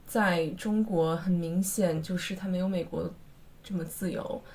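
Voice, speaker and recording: A young woman from China talks with a gravelly, creaky edge to a fairly low voice. She speaks slowly and considers her words. Faint noise hums under the recording. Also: fairly even intonation, darkish tone. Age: young adult. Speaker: international student. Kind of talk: interview testimony.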